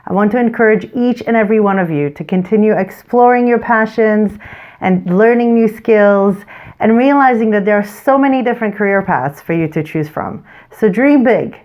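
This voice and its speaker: A woman's voice: mid-range voice, normal speed, muffled recording. A woman host addressing viewers in an encouraging, scripted presenting style.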